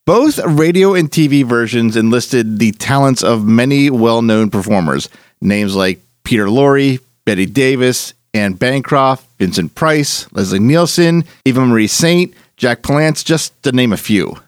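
A man hosting with scripted narration, measured and given to dramatic emphasis. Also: mid-range voice, expressive, no echo, studio quality. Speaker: host. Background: music.

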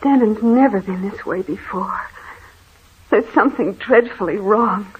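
An actress playing a frightened, anxious wife in an old radio horror drama, fretful and pleading. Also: female voice, mid-range voice, unhurried, slightly rough. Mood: worried. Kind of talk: vintage radio horror acting. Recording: tinny, hissy old-time radio recording.

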